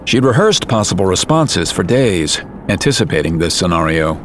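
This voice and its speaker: Deep-voiced serious male drama narrator. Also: sing-song, normal speed, a bit bright, a little hiss or hum. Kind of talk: scripted audio drama narration.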